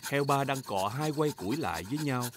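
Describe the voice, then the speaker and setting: A man's voice: medium pitch, animated, a little fast, noisy background. A man reading scripted narration for a children's cartoon. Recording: music behind the voice.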